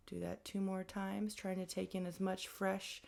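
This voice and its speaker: A woman leading a guided meditation as an instructor, with gentle, invitational, conversational phrasing. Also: mid-range voice, vocal fry, a little hiss or hum.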